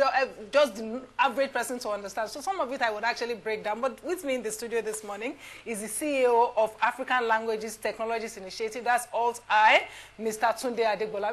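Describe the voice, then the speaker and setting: A woman hosting a live TV studio interview, effusive and full of quick restarts. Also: fairly high pitch, a bit bright. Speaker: TV talk show host.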